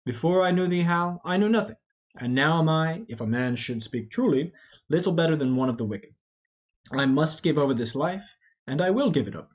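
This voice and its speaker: A man's voice: a little high, expressive, brisk, natural voice. A man voicing a blustering, roguish old knight in an amateur Shakespeare reading, full of mock-indignant rhetoric. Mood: mock-repentant. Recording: dull, lo-fi.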